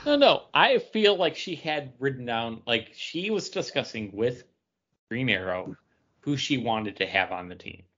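A man's voice: mid-range voice, very animated, measured pace, a bit bright. Man chatting casually, slightly muffled audio. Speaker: comic book podcast co-host. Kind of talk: casual book discussion.

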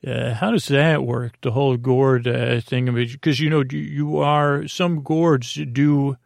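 A man hosting a bedtime podcast in a rambling monologue, halting and hesitant, often trailing off, with a gravelly voice. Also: mid-range voice, fairly even intonation, dry recording, studio quality. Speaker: podcast host.

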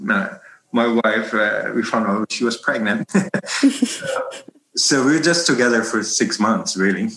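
A man's voice: on the low side, measured pace, a bit bright, reverberant, slight background noise, low-quality recording. A man in his forties from Germany with a German accent, talking casually in an interview about his life as a baking teacher, rambling with many restarts. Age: 40s. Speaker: bread baking instructor.

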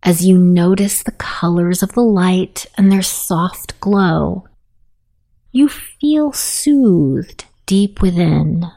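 A woman leading a guided meditation in a soothing, hushed manner with long pauses. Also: fairly low pitch, vocal fry. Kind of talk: guided meditation, self-hypnosis narration.

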